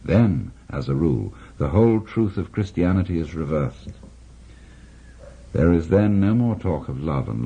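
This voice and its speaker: A middle-aged man on a 1960s radio show, reading a philosophical text aloud in a measured, reflective way with long pauses. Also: low-pitched, vocal fry, mellow, slightly rough. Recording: dull lo-fi 1960s radio recording.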